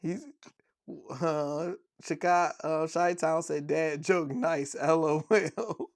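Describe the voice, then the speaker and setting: A man's voice: a little high, steady tone, slow, no room sound, clean studio sound. A man co-hosting a casual podcast, playfully telling dad jokes and laughing at them. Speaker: podcast co-host.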